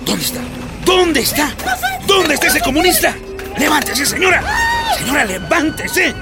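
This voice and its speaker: Radio play soldier: bullying interrogator, strained high register, hugely animated delivery. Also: male voice, moderate pace, over loud music, over a noisy crowd, full character voice. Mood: aggressive, shouting. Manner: barking, badgering orders. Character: menacing soldier interrogating a poor family at night. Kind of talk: radio drama acting.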